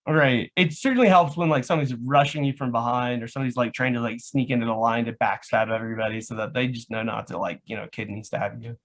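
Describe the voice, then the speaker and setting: Male, mid-pitched voice, casual explanatory talk show guest, rambling, peppers speech with "like". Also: fairly even intonation, fast talker, hardly any pauses. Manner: rambling, says "like" constantly. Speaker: guest, live action roleplay organiser.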